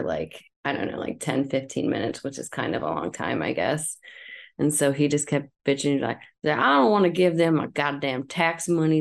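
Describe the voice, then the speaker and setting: A woman's voice: low-pitched, vocal fry. A woman co-hosting a podcast, telling stories casually with a lot of swearing and joking. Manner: profane, joking. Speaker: podcast co-host. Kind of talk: casual chat and comic storytelling.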